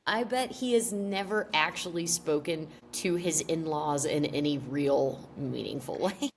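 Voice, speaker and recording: A woman co-hosting a comedy podcast, animated and rambling, blunt and quick to swear. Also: sits low, slight background noise. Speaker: podcast co-host. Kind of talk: comedic casual chat.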